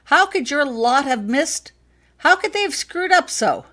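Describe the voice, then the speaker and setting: A woman's voice: sits high, lively intonation, measured pace, pushed and bright, voice pushed up, full character voice. A woman voicing an agitated father in an audiobook story, shaken and accusing, sputtering out questions. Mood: angry disbelief.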